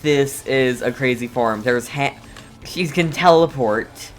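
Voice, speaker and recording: A player giving casual, halting commentary on a video game while playing, reacting in short murmured asides. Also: mid-range voice. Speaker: game streamer. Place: voice-over on game footage, game music behind.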